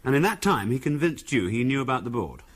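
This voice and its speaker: An actor playing an impatient, patronising English middle-class husband in a 1970s radio drama, brusque and dismissive. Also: male voice, mid-range voice, sing-song, quick pace. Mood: questioning, cautious. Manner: brusque, condescending.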